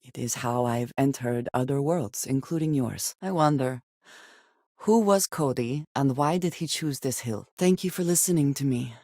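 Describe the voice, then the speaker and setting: An actress voicing a guarded, solemn spacefaring companion in a video game, speaking in a measured, formal and blunt way. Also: female voice, a bass voice, warm tone, no echo, studio quality. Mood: solemn, earnest. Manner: measured, formal phrasing with few contractions. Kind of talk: scripted game character voice lines.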